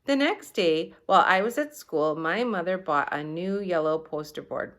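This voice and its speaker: A woman reading a children's story aloud in an animated, expressive storytelling manner. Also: on the low side, normal speed. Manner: animated, acts out the dialogue. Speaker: storybook reader.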